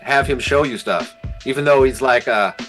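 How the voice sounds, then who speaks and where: A man's voice: mid-range voice, normal speed, fairly bright tone, faint music behind. A man, chatting casually and slangily as an interview guest, often saying "like". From Halifax, Canada. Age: 40s. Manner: slangy, frequent 'like' and 'you know what I mean'. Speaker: guest, hip hop producer and DJ.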